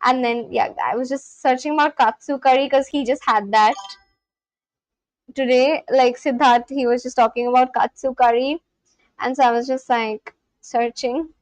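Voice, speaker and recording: A woman's voice: high-pitched, steady tone, moderate pace, no echo, rough recording. A woman livestreamer chatting casually and practising language phrases aloud, in a chatty way. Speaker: livestream host learning Spanish.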